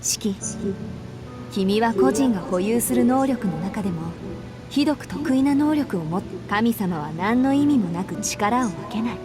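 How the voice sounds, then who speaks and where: A woman's voice: fairly high pitch, expressive, fast, natural voice. An actress playing a confident, kindly woman mentor in a visual novel, speaking earnestly and instructively. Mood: earnest, reassuring. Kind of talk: Japanese visual novel voice acting. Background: music.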